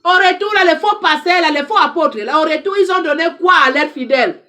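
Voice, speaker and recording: A woman's voice: very high voice, brisk, bright, forceful tone. A woman speaking French as a second language, giving an impassioned direct-to-camera address as an advice counselor, emphatic and repetitive as she exhorts her listeners. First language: not French.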